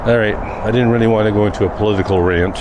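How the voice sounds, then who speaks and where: Man, lowish pitch, reflective rambling monologue, thoughtful pauses, unscripted vlog, noisy outdoor recording with car sounds. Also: fairly even intonation, muffled recording. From Canada. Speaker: daily vlogger.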